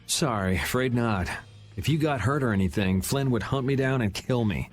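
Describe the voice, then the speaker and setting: Video game role: man playing a wry, streetwise young hero, mid-range voice, dry and unhurried attitude, music underneath. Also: mid-range voice, natural voice. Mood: casual. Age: young adult. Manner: dry, laid-back, wry.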